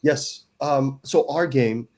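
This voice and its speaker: A man's voice: mid-range voice, slow, a little mellow. A man, a startup founder, earnestly explaining his company as an interview guest, often restarting his sentences. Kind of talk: casual interview.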